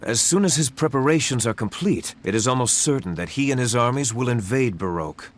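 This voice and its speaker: An actor playing a dutiful young prince in a video game cutscene, speaking courteously and gravely. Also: male voice, medium pitch, animated, a little fast, lightly acted.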